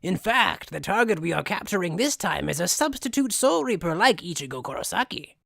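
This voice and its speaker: A man playing an eccentric, arrogant, gleefully sinister mad scientist in an anime fan dub, with haughty, theatrical, drawn-out delivery. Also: high-pitched, big pitch swings, gravelly, fairly bright tone, no room sound, studio quality, exaggerated voice. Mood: haughty, condescending.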